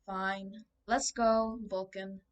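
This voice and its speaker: A woman's voice: medium pitch, flat delivery, extremely slow, far from the mic, highs cut off. A young female reader voicing a bossy, proud red-haired girl who commands her dragon in a storybook. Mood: grudging.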